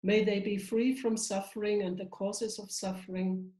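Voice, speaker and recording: A woman, not an English speaker, reciting a calm, measured blessing over a video call. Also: medium pitch. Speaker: guest giving a blessing. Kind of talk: slow recited prayer. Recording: echoey room.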